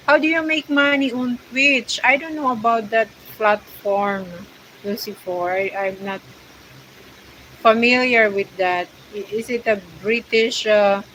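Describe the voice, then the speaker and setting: Halting Filipino woman chatting on a livestream. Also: a little high, pushed and bright, slight background noise, poor audio. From Philippines. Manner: hesitant, halting English with many fillers. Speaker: vlogger hosting a livestream. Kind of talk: casual livestream chat reading viewer comments.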